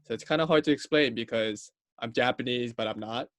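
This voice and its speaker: A man's voice: mid-range voice, brisk, fairly bright tone, dry recording. A young man from Hawaii chatting on a casual student podcast, upbeat, joking and talkative. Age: young adult.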